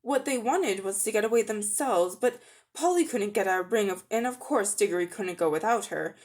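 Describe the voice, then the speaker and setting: A woman's voice: medium pitch, lively intonation, moderate pace, a little mellow. A woman reading scripted storybook narration in a dramatic reading style.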